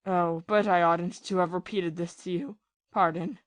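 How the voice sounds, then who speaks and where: A woman playing a bitter, lovesick gentleman captain. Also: fairly low pitch, fairly even intonation, no echo, close to an everyday voice. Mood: unsettled. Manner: confessional, impassioned, halting with repeated phrases. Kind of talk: audio play acting of a period drama.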